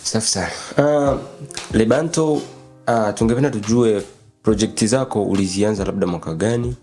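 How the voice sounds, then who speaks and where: A man's voice: lowish voice, moderate pace, warm tone, soft background music. A man with an East African accent interviewing casually, encouraging his guest with short interjections.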